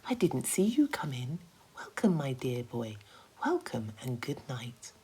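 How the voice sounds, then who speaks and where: A woman voicing a lady glowworm in a children's storybook, slow, cool and politely unruffled. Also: extremely low pitch, big pitch swings, warm tone, breathy edge, full character voice. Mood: welcoming, drowsy. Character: lady glowworm, unhurried, coolly polite, gently welcoming.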